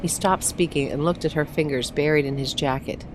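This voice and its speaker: Female storybook narrator, deep register, reading aloud with faint background noise. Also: fairly even intonation, a little fast, low-quality recording. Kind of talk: scripted short-story narration, read aloud.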